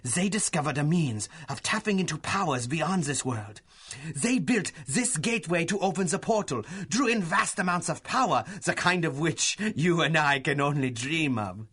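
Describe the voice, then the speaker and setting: An actor playing a pompous, fanatical German professor villain with a German accent in a 1930s-style radio adventure serial, gloating theatrically with drawn-out emphasis. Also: male voice, fairly high pitch, expressive, fairly bright tone, breathy edge. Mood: gloating, grandiose.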